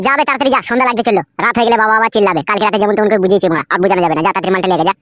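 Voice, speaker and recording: Woman voicing a rapid-fire, brash young cartoon slacker. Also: medium pitch, a bit bright, no room sound, studio quality, natural voice. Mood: impatient. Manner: rapid, slangy, bossy. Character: brash scheming young male slacker, foul-mouthed cartoon troublemaker. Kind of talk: comic cartoon dialogue. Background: music.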